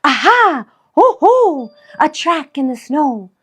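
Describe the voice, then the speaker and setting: A woman's voice: high voice, very animated, slow, fairly bright tone, pitched above the performer's own voice, heavily acted. A woman voicing a curious, brave young monster child in a storybook, exclaiming and asking questions. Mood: excited.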